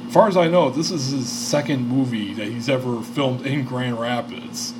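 A man's voice: medium pitch, normal speed, noisy background. A man in his thirties hosting a podcast, telling a story in a rambling, profane way with lots of fillers. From Michigan, United States. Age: 30s. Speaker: podcast host. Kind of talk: casual podcast chat.

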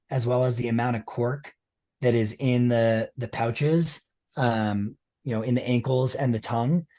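A man's voice: mid-range voice, a little mellow. A man with a mid-range voice, explaining things keenly and at length. He talks casually and fills his sentences with "you know" and "like". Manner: enthusiastic, explanatory, many fillers. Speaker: ski boot liner maker representative. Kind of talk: casual interview chat.

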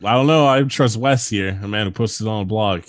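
Low-voiced man reading with jokes. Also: normal speed, no echo. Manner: reads stories aloud, breaks off laughing and riffing. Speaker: podcast host reading a countdown list. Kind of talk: comedic reading with banter.

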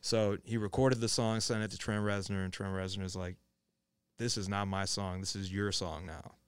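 Laid-back young man, smooth low voice. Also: little pitch movement, moderate pace, no room sound. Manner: laid-back, slangy, says dude and bro a lot. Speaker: music producer and engineer. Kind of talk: casual podcast chat.

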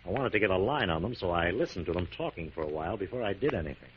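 Low-voiced radio detective hero. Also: male voice, animated, racing. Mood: calm, explaining. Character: composed, self-assured male detective, the crime-fighting hero. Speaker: actor. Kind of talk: 1940s radio drama acting. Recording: muffled, noisy 1940s radio recording.

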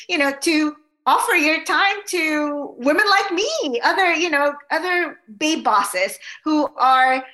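Woman from the Philippines, podcast host, bubbly and chatty, with a bright tone. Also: high-pitched. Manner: bubbly, chatty, many fillers. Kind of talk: casual interview.

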